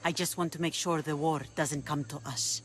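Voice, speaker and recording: A woman's voice: deep voice, steady tone, moderate pace, slight background noise, close to an everyday voice. An actress playing a shrewd, confident woman pirate leader who speaks in a commanding, dry, bargaining way in a game cutscene. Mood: cool. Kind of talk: video game cutscene acting.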